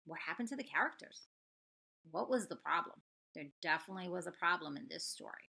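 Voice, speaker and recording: A woman elementary school teacher reading a story aloud and talking to children, expressive and full of questions. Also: fairly low pitch, fairly quick, gravelly, husky. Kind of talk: children's read-aloud and lesson.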